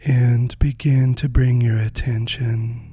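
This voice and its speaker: A meditation guide speaking softly and gently, unhurried with long pauses, often dropping close to a whisper in a spiritual guided practice. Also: medium pitch, flat delivery, husky, soft background music.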